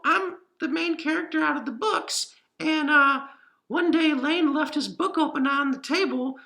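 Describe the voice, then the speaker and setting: A man voicing a friendly, excitable boy cat puppet who chats eagerly to children. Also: very high-pitched, creaky, voice pushed up, heavily acted. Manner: chatty, eager, addressing children.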